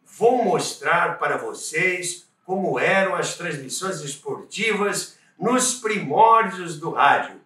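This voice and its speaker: A man's voice: fairly high pitch, lively intonation, slow pace, bright, forceful tone. An older man with a Brazilian accent presenting to viewers as a channel host, telling stories emphatically with rhetorical questions. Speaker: host of a radio history channel. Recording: roomy, distant from the mic. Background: music.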